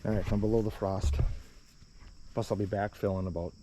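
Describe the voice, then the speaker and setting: A man's voice: lowish voice, little pitch movement, a little fast. A man talking casually through a hands-on building job as he works outdoors, plainspoken and offhand, like a DIY vlogger. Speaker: builder filming a DIY construction project. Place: outdoors at a rural building site. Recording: muffled lo-fi outdoor audio, loud background noise.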